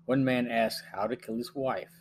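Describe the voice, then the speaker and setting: A man's voice: mid-range voice, fairly even intonation, moderate pace. Middle-aged man narrating over faint hum. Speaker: podcast host and reviewer. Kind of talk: scripted narration, plot summary and review. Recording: faint hum and background noise.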